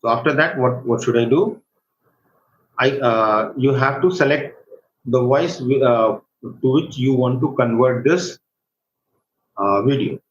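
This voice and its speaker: A man with an Indian accent giving a live step-by-step software tutorial as an instructor, haltingly, often checking for agreement with short tag questions. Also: medium pitch. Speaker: workshop instructor. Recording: echoey room, dull lo-fi sound, faint noise.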